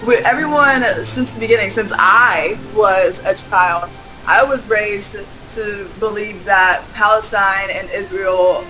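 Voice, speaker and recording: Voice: medium pitch, slow pace, sharp tone, soft background music, low-quality recording. An interviewee from the United States talking on a video call, rambling with many "like"s and restarts. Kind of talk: rambling casual chat.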